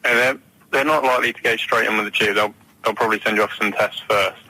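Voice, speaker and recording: Bright, raspy young man, matter-of-fact. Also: fairly low pitch, steady tone, fast, no room sound, rough recording. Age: young adult. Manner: matter-of-fact, reassuring explanations. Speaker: medical student guest. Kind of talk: casual radio chat.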